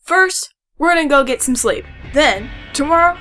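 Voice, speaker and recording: Woman voicing an excitable, high-pitched boy genius. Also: normal speed, faint music behind. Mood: excited, bossy. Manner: casual, dramatic, slangy. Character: excitable, nerdy, paranoid young male genius sidekick. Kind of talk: fan audio drama acting.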